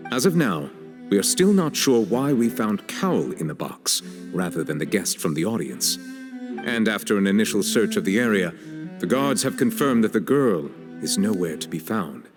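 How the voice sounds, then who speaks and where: A composed, authoritative chief justice from a game, low-voiced and measured. He gives orders and grave reports calmly, with a music score underneath. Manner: measured, commanding. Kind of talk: game cutscene dub.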